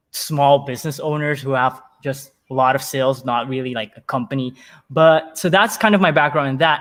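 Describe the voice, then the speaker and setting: A man's voice: a little high, a bit bright. A man answering as an interview guest in a conversational, storytelling way, emphatic and often repeating words as thoughts form.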